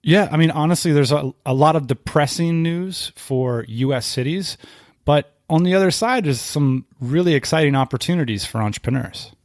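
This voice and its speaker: A man's voice: fairly high pitch, normal speed, no echo, clean studio sound. A man hosting a podcast, introducing the show in a conversational way. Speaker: podcast host.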